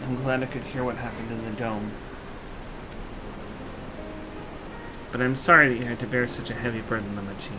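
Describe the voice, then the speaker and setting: A man voicing a measured, courteous young male ruler in a video game, speaking formally and with care. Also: medium pitch, fairly even intonation, background noise, lightly acted. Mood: regretful. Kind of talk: video game dialogue acting. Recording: game music behind the voice.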